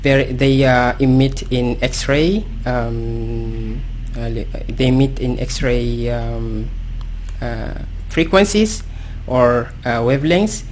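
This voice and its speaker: A man with a Sudanese accent speaks slowly in a medium-pitched voice, searching for words as he goes. He sounds like a guest expert explaining things without a script, hesitant and halting, over some light background noise. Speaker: guest astrophysicist. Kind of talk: unscripted self-introduction, explanatory talk.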